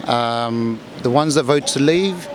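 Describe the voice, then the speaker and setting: A man giving measured opinions as an interviewee in a street interview. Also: mid-range voice. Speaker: interviewee, company chief executive. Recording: clear urban street noise.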